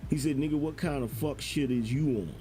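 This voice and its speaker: A man's voice: medium pitch, a little fast. A man giving casual online commentary, telling stories in profane street slang. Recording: faint background noise.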